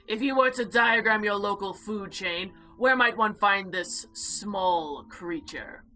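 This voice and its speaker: A woman's voice: mid-range voice, takes it slowly, sharp tone, faint music behind, pitched below the performer's own voice. A woman voicing a haughty, predatory female monster in a visual novel, speaking in formal, imperious, archaic phrases. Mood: disdainful.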